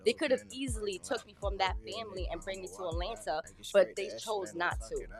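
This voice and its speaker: A woman in her thirties telling her life story in an interview, candid and plain-spoken, with halting restarts. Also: mid-range voice, music in the background. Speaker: guest. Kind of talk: personal testimony in an interview.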